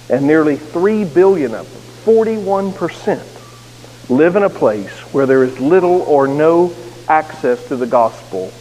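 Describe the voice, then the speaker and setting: A man's voice: on the high side, darkish tone. A man with a neutral American accent preaching a sermon as pastor, earnest with touches of self-deprecating humor. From United States. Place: church sanctuary. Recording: echoey sanctuary with faint hiss.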